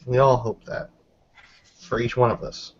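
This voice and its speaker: Man, medium pitch, relaxed game-table storytelling style, slightly muffled sound. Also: unhurried, a little hiss or hum. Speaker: tabletop roleplayer. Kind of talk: casual third-person roleplay narration.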